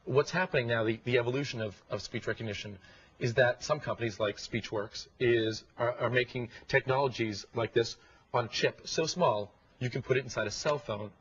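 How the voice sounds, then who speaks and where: A man's voice: medium pitch, fairly quick, a bit bright, breathy edge. A man speaking as a guest expert, explaining things in an interview. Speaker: guest expert on speech recognition. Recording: dull lo-fi broadcast audio.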